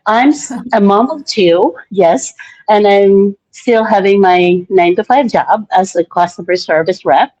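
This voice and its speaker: A woman's voice: medium pitch, measured pace, a little mellow. A woman from the Philippines with a Filipino accent, casually introducing herself on a livestream. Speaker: livestream participant. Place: vacant room at workplace. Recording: dull lo-fi livestream audio.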